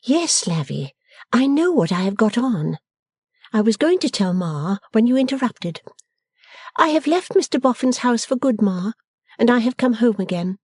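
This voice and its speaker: A resolute, affectionate young heroine of a storybook, voiced by a woman narrator. Her pitch sits high and moves freely, brisk and coaxing, in a dry recording. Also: big pitch swings, darkish tone, husky, dry recording, full character voice.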